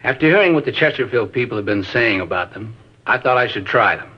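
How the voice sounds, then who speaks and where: A man's voice: mid-range voice, lively intonation, fast talker, breathy edge, highs cut off. A man giving a scripted product testimonial in the style of a 1950s radio advertisement. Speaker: advertising endorser.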